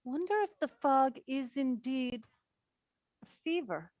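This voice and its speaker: Stage play reading: anxious high-voiced woman, played by an actress, dry recording. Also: lively intonation, a little slow, a little mellow, no room sound. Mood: wry, anxious.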